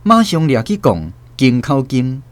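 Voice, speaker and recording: A man's voice: mid-range voice, big pitch swings, unhurried. A man with a Taiwanese accent, telling a story as the narrator of a radio drama. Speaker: host and narrator.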